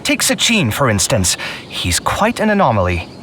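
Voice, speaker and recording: Bright, animated, exasperated young man. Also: mid-range voice, moderate pace, slight background noise. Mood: exasperated, insistent. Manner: argumentative, expressive, exasperated. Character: earnest, stubborn, easily exasperated young male scholar. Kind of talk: scripted video game dialogue.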